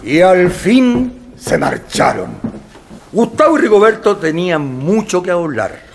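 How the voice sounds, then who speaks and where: A man's voice: high voice, big pitch swings, a little slow, noisy background, full character voice. A man with a Chilean accent narrating a radio play as a wry, pitying storyteller. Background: accordion music.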